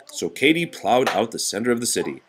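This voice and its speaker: A man reading a children's picture book aloud to a child in an expressive, patient way. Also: medium pitch, brisk, a little hiss or hum. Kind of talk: storybook read-aloud to a child.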